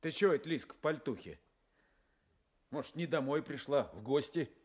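A man's voice: on the high side, moderate pace, husky. A man playing a surly young peasant head of household in a radio drama, mocking and needling in colloquial village speech. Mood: sarcastic, scolding. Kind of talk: Soviet radio drama acting.

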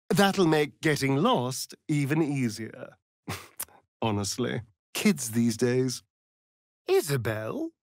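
Flirty sardonic british vampire rogue from a fantasy game, slow arch drawl, super dry close sound. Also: male voice, mid-range voice, no echo, heavily acted. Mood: sardonic, musing. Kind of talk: theatrical video game character acting.

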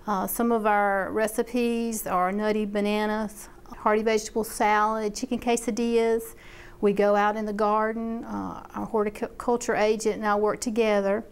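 A woman's voice: mid-range voice, flat delivery, normal speed, clear, resonant tone. A middle-aged woman, an extension agent explaining a program in a chatty way, with many fillers. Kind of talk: interview about a program.

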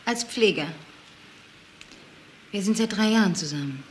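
An actress playing a defensive, prickly nurse being questioned in a radio crime drama, answering curtly. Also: female voice, medium pitch, animated, a little slow, lightly acted. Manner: curt, defiant. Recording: faint background noise.

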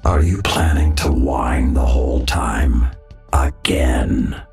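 Slow, very deep growling wraith mercenary. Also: male voice, darkish tone, soft background music, full character voice. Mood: scornful. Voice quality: gravelly growl. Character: menacing, bitter wraith-like male mercenary. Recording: roomy, distant game audio.

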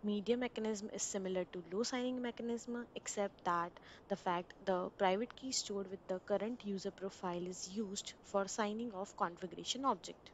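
A woman of middle pitch talks through a software installation one step at a time, in a plain instructional voice. A faint hiss sits under her voice-over. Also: moderate pace, hardly any pauses, low-quality recording. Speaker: software tutorial narrator. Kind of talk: screen-recorded installation walkthrough.

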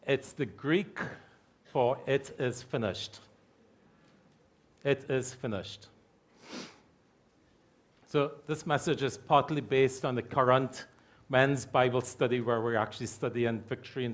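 A man's voice: medium pitch, fairly even intonation. Middle-aged male pastor preaching from the pulpit. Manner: emphatic, repeats key phrases slowly. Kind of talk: sermon. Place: church hall with stage curtains.

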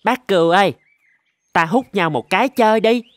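An actor voicing a cocky, pushy young goat kid in an animated fable, boastful and pleading in a sing-song way. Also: mid-range voice, normal speed, no echo, clean studio sound, lightly acted. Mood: eager. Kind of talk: Vietnamese animated fable dialogue.

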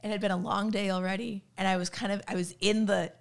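A woman's voice: mid-range voice, level tone, quick pace, vocal fry. A woman in her thirties with a cold, co-hosting a veterinary podcast in candid, chatty talk full of "like". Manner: candid, chatty, heavy use of "like". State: has a cold, voice worn. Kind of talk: casual podcast discussion. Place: home room, indoors. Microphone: studio mic on boom arm, headphones.

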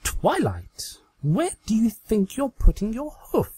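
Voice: mid-range voice, huge pitch swings, slow, dark tone, husky, voice pushed up, full character voice. A performer voicing a coy, melodramatic female cartoon pony magician in a fan-fiction reading, with an overly dramatic delivery. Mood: coy scolding.

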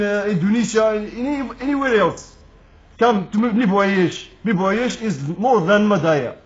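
A man's voice: high voice, dull audio. A Moroccan man with Moroccan Arabic as his native language, making an angry, indignant street appeal to camera in English and repeating his phrases. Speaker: protester speaking to camera.

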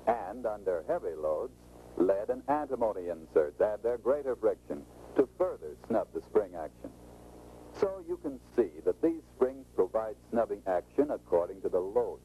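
Dark-toned 1940s American training film narrator, male. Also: lowish voice, expressive, moderate pace. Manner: instructive, salesmanlike presentation. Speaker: automotive expert presenting a sales training film. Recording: noisy, muffled 1940s film soundtrack.

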